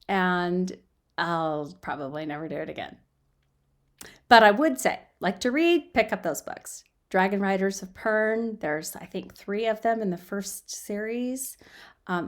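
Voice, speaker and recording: Casual female amateur storyteller, medium pitch, informal and unpolished, recorded on a built-in laptop mic at home. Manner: informal, self-deprecating, fidgety. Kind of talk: casual chatty intro and amateur storybook reading. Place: quiet guest room at home. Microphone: built-in laptop microphone.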